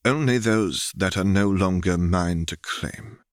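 A man reading the part of a world-weary, wry and resolute Jedi in his thirties for a storybook, in a measured, dry manner. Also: sits low, dry recording. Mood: quiet, resolute.

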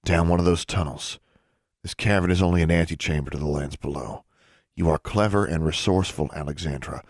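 A man voicing a commanding but caring teenage wizard boy in an audiobook, with terse, low-key instructions. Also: lively intonation, normal speed, no room sound, clean studio sound. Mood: gentler, earnest. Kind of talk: audiobook character acting.

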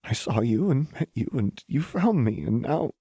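An earnest young soldier woman, voiced by a male audiobook reader. The voice is soft and husky, full of tender devotion. It sits close and very dry. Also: medium pitch, expressive, moderate pace, no room sound, pitched above the performer's own voice. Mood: tearful, adoring. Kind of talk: audiobook character dialogue.

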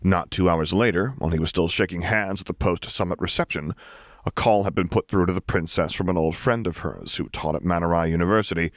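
A man's voice: low voice, a little fast, dry recording, clean studio sound. A man reading scripted fiction aloud as an audiobook narrator.

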